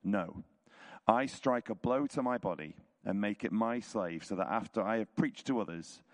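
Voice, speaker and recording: Hoarse man, croaky, reading scripture aloud, measured delivery, long hall reverb. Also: on the low side. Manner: casual intro, then measured rhetorical reading. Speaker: church leader reading scripture. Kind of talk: scripture reading at a church service.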